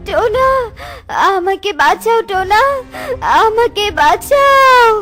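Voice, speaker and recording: A woman's voice: extremely high pitch, expressive, slow pace, heavily acted. A woman voicing a suffering young daughter-in-law in a cartoon, pleading desperately in pained, tearful tones. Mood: agonized, pleading. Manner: pained, crying pleas. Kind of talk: Bengali children's cartoon voice acting.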